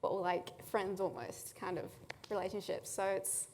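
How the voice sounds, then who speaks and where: A woman's voice: mid-range voice, moderate pace, a little mellow, slight background noise. Woman student, casual and chatty, long reverb. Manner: chatty, lots of "like". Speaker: student panelist. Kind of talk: casual panel talk.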